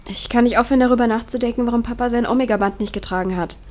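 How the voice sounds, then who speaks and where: A woman's voice: fairly high pitch, fairly even intonation, racing, background noise, natural voice. A young woman playing a frank, slangy vlogger in an audio drama, casual and blunt. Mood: subdued. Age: young adult.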